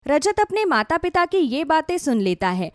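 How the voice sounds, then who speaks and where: A woman's voice: sits high, sing-song, moderate pace, no room sound, clean studio sound. A woman narrating a scripted dramatic story as a storyteller.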